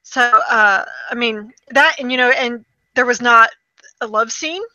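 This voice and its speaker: A woman with a slightly high, very bright and forceful voice talks casually as a co-host. She is conversational and opinionated, and the recording is dry and close. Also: unhurried, no echo, poor audio. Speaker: podcast co-host. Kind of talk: casual chat and commentary.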